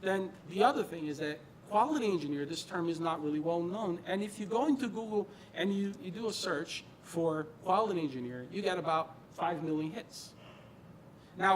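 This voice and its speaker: Middle-aged male presenter, high-pitched voice, informal and emphatic meetup delivery, light rumbly noise behind. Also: low-quality recording. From born in Brazil, grew up in northern New Jersey, US. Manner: conversational, emphatic, repeats phrases for stress. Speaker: presenter, quality engineering manager. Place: meeting room presentation before an audience.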